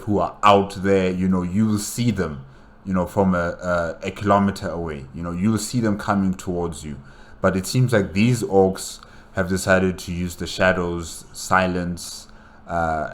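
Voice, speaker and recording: A man giving casual, unscripted commentary as a reaction host, haltingly thinking aloud. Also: low voice.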